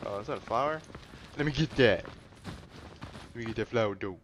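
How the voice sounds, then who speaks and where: A woman's voice: a bass voice, animated, fairly quick, noisy background. A woman giving casual, offhand commentary while playing through a video game, muttering her reactions. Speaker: gaming streamer. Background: game music and sounds.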